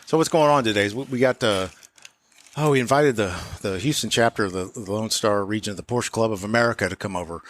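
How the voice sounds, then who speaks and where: A man with a fairly low voice talks as a show guest who runs a shop. He explains things in an informal, conversational way. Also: fast talker. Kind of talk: casual interview chat.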